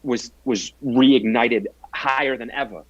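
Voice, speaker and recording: A man's voice: mid-range voice, measured pace, highs cut off. A man in his twenties, a guest athlete telling his story in an earnest, reflective way during a casual interview. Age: 20s.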